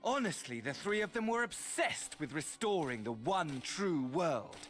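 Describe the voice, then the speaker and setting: A man's voice: on the high side, big pitch swings, measured pace, a bit bright. An actor playing a gleefully nihilistic villain mastermind in a video game, mocking and grandiose like a mad showman. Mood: smug, theatrical. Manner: grandiose showman, swinging from mocking courtesy to mania. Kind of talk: theatrical video game voice acting. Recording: game audio with music behind.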